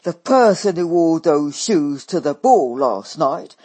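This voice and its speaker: A woman voicing a brash, loud young woman, with a husky breathy voice. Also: sits low, animated, slow, heavily acted.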